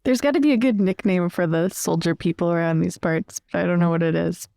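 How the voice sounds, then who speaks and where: A woman's voice: fairly low pitch, a little fast, creaky, warm tone, dry recording, clean studio sound. A woman chatting casually and playfully as a player in a tabletop game.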